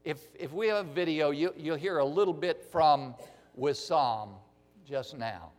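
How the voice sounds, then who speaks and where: A man speaks slowly and earnestly from the front of a church, his voice sitting a little high for a man. Long reverb from the large hall trails after each phrase. He often repeats words as he finds his way. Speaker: missionary ministry speaker. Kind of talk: church announcement address.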